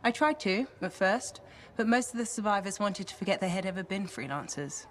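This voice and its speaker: A woman's voice: medium pitch, expressive, brisk, a little hiss or hum, close to an everyday voice. A woman acting as an earnest, curious explorer in a video game, articulate, sincere and reflective.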